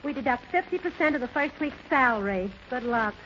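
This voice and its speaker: Wisecracking agency clerk, high, dry and snappy; dull, lo-fi vintage radio comedy. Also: female voice, expressive, a little fast, a bit bright. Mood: businesslike. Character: brisk, wisecracking female employment agency clerk. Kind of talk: 1930s radio comedy acting. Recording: muffled, noisy 1930s radio recording.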